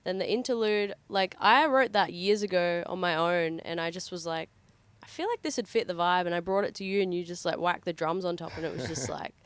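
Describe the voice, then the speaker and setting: A woman's voice: lowish voice, a little fast, clean tone, no room sound. A young woman, a band's singer, chatting casually in an interview, with frequent filler words. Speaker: band singer.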